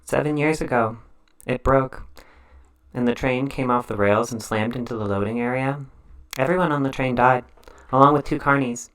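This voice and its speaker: A man's voice: medium pitch, moderate pace, a bit bright, close to an everyday voice. A man voicing a ghostly young woman who runs a carnival ride, matter-of-fact with a showy, menacing cheer. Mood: eerily matter-of-fact. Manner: matter-of-fact, philosophical, showy barker intoning.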